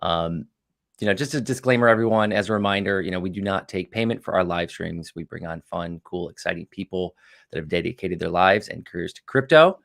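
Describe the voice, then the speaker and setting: Low gravelly guy hosting a live stream, chatty, lots of ums and you knows. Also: fairly quick, fairly bright tone. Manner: loose, filler-heavy, upbeat host patter. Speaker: livestream host. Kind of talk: casual crypto livestream chat.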